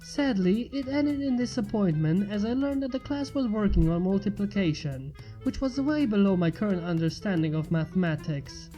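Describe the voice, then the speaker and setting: Woman reading fanfic out loud, soft mellow voice, quiet music under it. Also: medium pitch, animated, moderate pace, hardly any pauses, rough recording. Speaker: fan fiction reader. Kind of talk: first-person story narration. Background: faint music.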